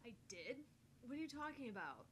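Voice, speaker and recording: A blunt young woman from a visual novel, read by a woman. She is direct and questioning, with a sharp tongue. Light noise runs behind the voice. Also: fairly high pitch, big pitch swings, a little fast. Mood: incredulous. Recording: faint background noise.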